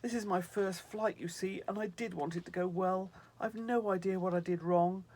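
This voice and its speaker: A man's voice: high-pitched, fairly even intonation, normal speed, darkish tone, voice pushed up. A man voicing a young male snow angel, fretful, earnest and a little pompous. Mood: anxious.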